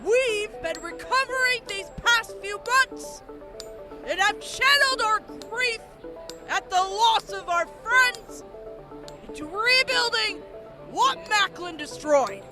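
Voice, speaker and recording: An actor playing a composed female army major reporting on her unit, measured and earnest. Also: very high voice, expressive, loud music behind the voice, heavily acted. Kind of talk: audio drama acting.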